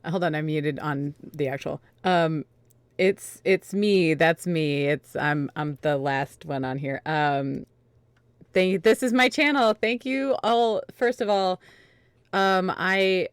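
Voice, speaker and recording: Very clear, slightly low-voiced woman streamer. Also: no echo. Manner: rambling, self-interrupting. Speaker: host, variety streamer. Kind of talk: casual livestream chat.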